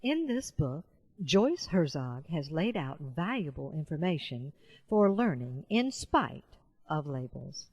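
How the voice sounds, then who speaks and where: A woman reading nonfiction audiobook narration in a measured, carefully enunciated way. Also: deep voice, sing-song, darkish tone, slightly rough, no echo. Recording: dull, muffled lo-fi voice-over.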